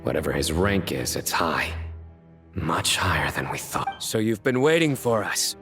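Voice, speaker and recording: An actor playing a stern, vigilant general in a video game, serious, terse and commanding. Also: male voice, low voice, sing-song, normal speed, fairly bright tone, soft background music. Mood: wary.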